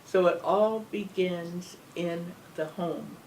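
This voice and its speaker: An older woman speaking as an interview guest, measured and emphatic, often asking rhetorical questions. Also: lowish voice, darkish tone. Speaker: guest, former legislator. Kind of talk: earnest interview talk. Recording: distant mic with faint background hum.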